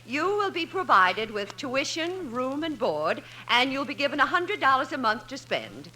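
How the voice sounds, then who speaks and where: An actress playing a businesslike college secretary, brisk and official, in a 1950s radio comedy. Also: female voice, high-pitched, sing-song, bright, forceful tone, full character voice, low-quality recording.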